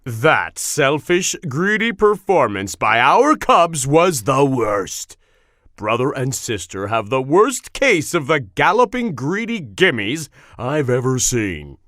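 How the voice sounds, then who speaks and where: A woman voicing a big, gruff father bear in a children's storybook, with slow, emphatic delivery. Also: sing-song, no echo, studio quality, exaggerated voice. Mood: outraged. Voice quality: deep, gruff.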